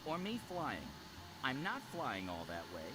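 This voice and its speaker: A man's voice: mid-range voice, wildly swooping pitch, a little slow. A man voicing a small, cocky, chatty flying bird companion in a video game, with a jaunty, wisecracking manner. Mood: jaunty, scheming. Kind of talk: video game character dialogue. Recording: game audio under loud ambient noise.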